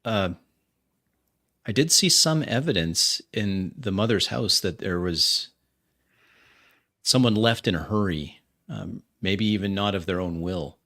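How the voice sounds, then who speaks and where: An earnest academic investigator played by a man in a roleplaying game. He is polite, formal and concerned, choosing words hesitantly. The voice sits lowish and has a crisp, bright tension. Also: animated, measured pace, heavily acted. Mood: courteous, probing.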